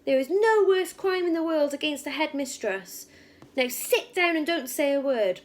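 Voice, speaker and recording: A woman's voice: high-pitched, expressive, normal speed, pitched above the performer's own voice. A woman voicing a huge, furious, bullying headmistress who barks and roars accusations in a children's storybook. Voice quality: barking, roaring. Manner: menacing, accusing, insulting.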